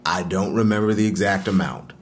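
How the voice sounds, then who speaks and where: A guarded street artist answers questions in an audio drama. His voice is a little low, and his delivery is natural, terse and defensive. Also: a little fast.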